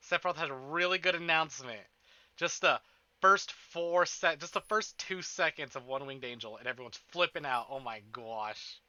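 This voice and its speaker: A man's voice: on the high side, moderate pace, bright, forceful tone, no echo, highs cut off. A man livestreaming a game, chatting casually in slang and swearing freely. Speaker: game streamer and host.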